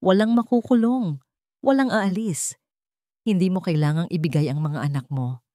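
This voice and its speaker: A woman's voice: fairly low pitch, moderate pace, warm tone, no room sound, studio quality, close to an everyday voice. Authoritative male protector, played by a woman narrator, firm and emphatic, angry edge, for audio drama. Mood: protective, resolute.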